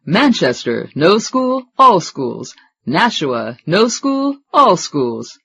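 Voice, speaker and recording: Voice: mid-range voice, very animated, slow, creaky, fairly bright tone, full character voice. A narrator voicing a chatty local radio announcer reading out a list of storm closings. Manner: chatty broadcast delivery.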